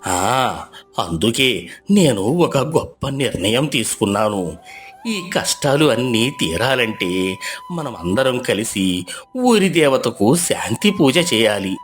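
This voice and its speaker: A man's voice: very animated, soft background music. A man voicing an old deaf village headman. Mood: ponderous. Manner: slow, low, ponderous speech. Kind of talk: storybook voice acting.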